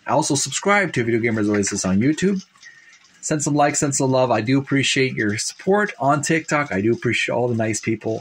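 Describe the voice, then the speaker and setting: A man hosting a retro gaming channel, reading aloud from a book in deliberate, halting phrases and adding casual, folksy commentary. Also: medium pitch, slight background noise.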